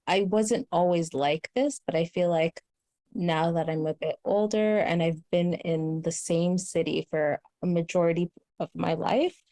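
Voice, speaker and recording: A young woman from Canada talks things through slowly, with lots of "like" and restarts. Her voice sits fairly low, and the sound is close and dry with no room around it. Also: steady tone, mellow, no echo. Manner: thoughtful, many fillers and restarts. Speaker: host. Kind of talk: casual conversation.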